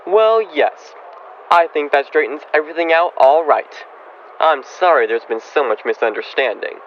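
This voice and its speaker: Young man playing a bossy, high-pitched flustered dad. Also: normal speed, lightly acted. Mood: settling, exasperated. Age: young adult. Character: gruff exasperated middle-aged father, bossy and flustered. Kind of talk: old-time radio comedy acting. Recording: dull muffled audio, background noise.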